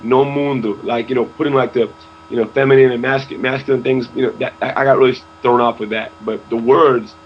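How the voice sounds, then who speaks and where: A young man talks casually in an interview, often stumbling over and repeating his words. His voice is mid-pitched. The sound is dull and lo-fi, with a faint hum underneath. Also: fairly even intonation, a little fast. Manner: stammering restarts, says "you know" often. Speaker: interview guest, rapper.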